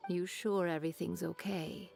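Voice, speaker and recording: A woman playing a gentle, worried mother fussing over her son in a video game. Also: on the low side, fairly even intonation, normal speed, creaky, music in the background.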